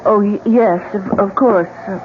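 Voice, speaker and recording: An actress playing an anxious, polite innkeeper's wife in a radio drama, speaking hesitantly and easily upset. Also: female voice, mid-range voice, fairly even intonation, unhurried, natural voice. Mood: uneasy. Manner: hesitant, faltering. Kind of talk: old-time radio drama acting. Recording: thin phone-like radio audio, hissy.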